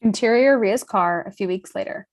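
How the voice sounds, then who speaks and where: A woman's voice: mid-range voice, normal speed. A woman reading stage directions as scripted narration for a screenplay table read.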